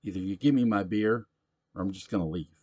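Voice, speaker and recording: A man voicing a gruff, pushy drunk middle-aged man at a bar in a read-aloud story. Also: lowish voice, a little fast, a little mellow, muffled recording, lightly acted. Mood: belligerent.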